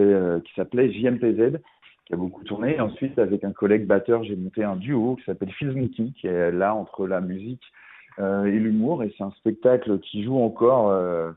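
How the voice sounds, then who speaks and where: Man, radio interview guest, hesitant, low-pitched, thin narrow-band audio. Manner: hesitant, many fillers and restarts. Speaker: musician guest. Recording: tinny phone-like radio sound.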